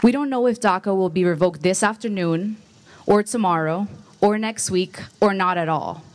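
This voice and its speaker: Young female student activist, average pitch, earnest prepared public address. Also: normal speed, a little hiss or hum. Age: young adult. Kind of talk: prepared rally speech.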